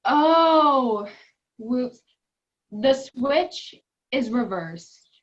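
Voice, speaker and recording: A young woman radio technician played by a student speaks slowly, puzzled and sheepish, in an understated comic delivery. The video-call audio is a little dull. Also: high voice, animated, exaggerated voice. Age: teens. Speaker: student actor. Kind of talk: comic stage-play acting over video call. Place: home room, video call.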